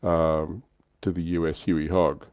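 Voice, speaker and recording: A man's voice: low voice, flat delivery, slow pace, darkish tone, no room sound, clean studio sound. A man with an Australian accent hosting a livestream in a casual hobby discussion. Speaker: livestream host.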